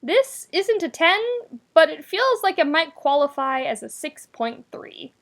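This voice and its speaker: A woman reading a story aloud as narrator, with expressive, wry delivery and dramatic emphasis. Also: high-pitched, a little slow. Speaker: podfic narrator. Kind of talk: expressive scripted fiction narration.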